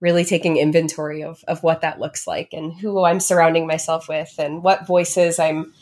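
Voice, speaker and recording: A woman's voice: deep voice, flat delivery, normal speed, darkish tone. A young woman hosting a podcast, affirming and earnest as she thanks her guest and listeners. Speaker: podcast host. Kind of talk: podcast interview and outro. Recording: faint music behind.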